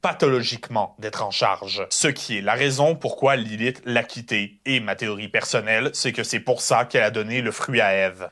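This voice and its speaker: A man's voice: lowish voice, sharp tone. A man narrating a scripted analysis in a conversational way, as the host of a video essay.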